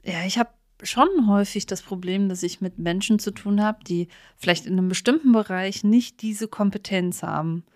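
A woman's voice: medium pitch, normal speed, a little mellow, no echo, studio quality. A woman co-hosting a podcast, chatting casually in a candid, self-effacing way. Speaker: podcast co-host. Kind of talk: casual chat.

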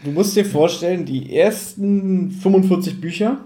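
A man's voice: high voice, normal speed, dark tone, reverberant, a little hiss or hum. A man with a German accent hosting a casual podcast, explaining background information in an informative way.

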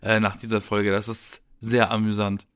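A man's voice: fairly low pitch, level tone, moderate pace, fairly bright tone, clean tone. A man in a casual podcast chat, explaining things and bantering dryly as co-host.

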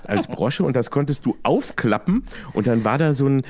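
A man telling an anecdote casually in a halting, rambling, self-mocking way. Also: medium pitch, big pitch swings, a crowd in the background. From Germany.

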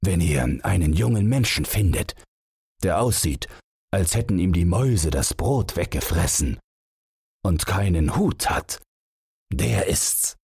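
A man's voice: low voice, expressive, measured pace, creaky, darkish tone, husky, no room sound, clean studio sound, heavily acted. A man voicing an indignant, blustering old king in a fairy tale audiobook, imperious and scornful. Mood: commanding.

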